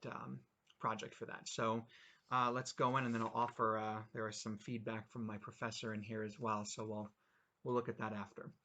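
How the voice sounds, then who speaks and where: A man's voice: on the low side, fairly bright tone. A man in his forties hosting a reading of his own short story, chatting casually with wry, self-mocking asides. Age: 40s.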